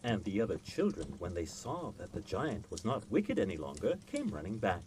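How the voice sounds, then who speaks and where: A man reading a classic children's story aloud as an expressive scripted narration. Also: deep voice, moderate pace, a little hiss or hum. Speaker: storyteller, reader.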